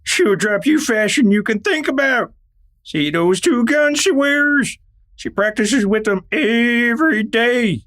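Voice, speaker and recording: A man's voice: very high voice, lively intonation, a little slow, fairly bright tone, exaggerated voice. A man playing a crude, boastful outlaw in a Western radio play, emphatic and scolding, with an American Western drawl.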